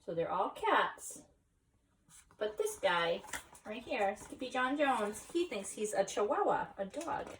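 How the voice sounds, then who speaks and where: A woman reading a children's story aloud expressively, pausing for explanatory asides and questions to young listeners. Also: mid-range voice, sing-song, recorded from a distance, rough recording. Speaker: story reader.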